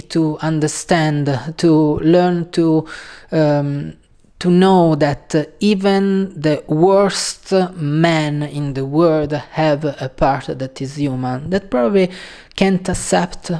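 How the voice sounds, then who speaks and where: Italian-accented woman host, slow, halting casual delivery, warm dark tone. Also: deep voice, fairly even intonation. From Italy. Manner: hesitant, halting, many pauses and fillers. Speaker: channel host. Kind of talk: casual video talk on a book.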